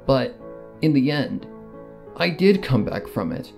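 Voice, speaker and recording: A narrator reading a fan fiction story in the first person, with solemn, dramatic delivery and pauses. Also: mid-range voice, sing-song, gravelly, a little mellow. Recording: music under the voice. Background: background music.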